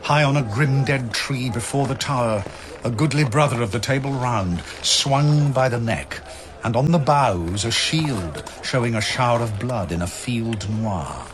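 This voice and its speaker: A male narrator with a mid-pitched voice delivers scripted archaic verse slowly and solemnly. The phrasing is measured and literary, with clear noise behind him. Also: animated.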